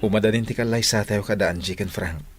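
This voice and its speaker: A man's voice: on the low side, fast talker, over loud music, lightly acted. A man playing a reassuring young groom-to-be in an Ilocano radio drama, with an Ilocano accent.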